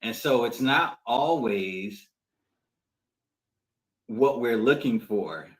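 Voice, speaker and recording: Man, podcast co-host, medium pitch, slow pace, casual, reflective, deliberate halting phrasing.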